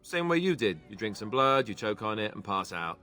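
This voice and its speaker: An actor voicing a wry, self-deprecating young warrior in a fantasy video game, loyal, a little awkward and conversational. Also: male voice, fairly low pitch, big pitch swings, a little fast, bright, forceful tone, soft background music. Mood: wry, joking. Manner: joking, hesitant, conversational.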